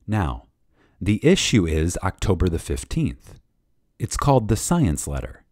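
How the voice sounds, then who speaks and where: A man reading a spiritual lecture dramatically, with reverence, emphasis and deliberate pauses. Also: low voice, sing-song, darkish tone, no room sound, studio quality. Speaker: reader of a lecture.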